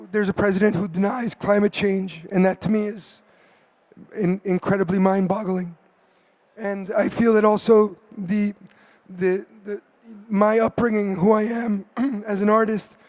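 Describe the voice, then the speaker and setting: A man speaking on a conference panel, his voice hoarse from a cold and pitched well above most men. He talks hesitantly and often restarts his phrases. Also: level tone, a little slow, a little mellow. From United States. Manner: hesitant, many fillers and restarts. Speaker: artist on a panel.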